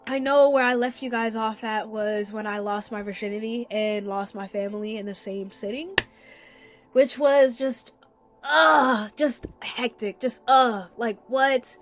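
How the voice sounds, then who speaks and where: A woman's voice: on the high side, steady tone, unhurried. A young woman hosting a candid storytelling podcast, sounding congested and chatting casually with occasional swearing. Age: young adult. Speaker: podcast host.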